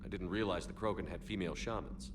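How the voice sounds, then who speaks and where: An actor playing an earnest military commander in a video game, speaking in a direct, level, sincere way. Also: male voice, deep voice, fast, close to an everyday voice. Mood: earnest, curious. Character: steady, earnest male military commander, soldier hero. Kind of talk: video game character acting. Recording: noisy game ambience behind voice.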